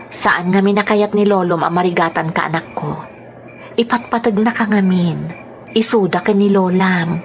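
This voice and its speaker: A woman's voice: mid-range voice, normal speed, slight background noise, close to an everyday voice. A woman playing a weary, protective young mother in an emotional radio drama style. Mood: concerned, tender.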